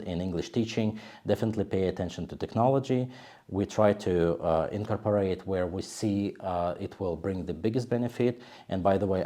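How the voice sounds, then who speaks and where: Male interview guest, executive type: lowish, soft dark timbre, measured explanations broken by pauses.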